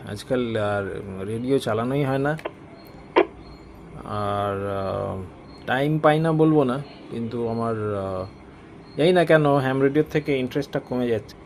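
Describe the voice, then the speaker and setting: A man's voice: medium pitch, darkish tone, a little hiss or hum. A man with a Bengali Indian accent chatting casually as an amateur radio operator, mixing English phrases and radio procedure into his talk. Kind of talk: casual ham radio conversation.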